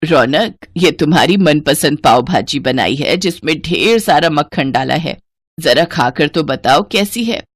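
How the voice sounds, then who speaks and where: A woman's voice: deep voice, animated, a little slow, dry recording, voice pushed down, heavily acted. A woman voicing a scheming, lustful middle-aged stepmother in a story, coy and insinuating. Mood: seductive. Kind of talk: Hindi moral-story cartoon voice acting.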